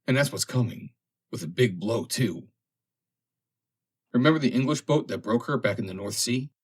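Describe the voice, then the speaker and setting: A man voicing a shrewd, disapproving sailor with a hoarse voice in an audiobook. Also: medium pitch, animated, normal speed, voice pushed up. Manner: disapproving, scornful. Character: recovering sailor, scornful and shrewd, just out of bed. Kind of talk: audiobook character acting.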